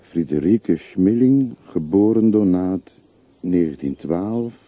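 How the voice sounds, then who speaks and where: An actor in a radio drama playing a poor, sober, deferential man with a measured, matter-of-fact way of speaking. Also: low voice, expressive, dry recording, heavily acted. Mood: solemn, measured. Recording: muffled, narrow-band old radio play recording.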